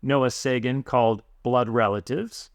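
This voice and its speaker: A man's voice: mid-range voice, fairly even intonation, a little slow, no room sound, clean studio sound. A man hosting a podcast, asking long conversational questions. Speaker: podcast host. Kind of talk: interview questions.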